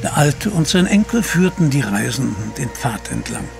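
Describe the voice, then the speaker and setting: A man's voice: mid-range voice, sing-song, normal speed, vocal fry, loud music behind the voice. A man giving scripted dramatic narration for an audio drama.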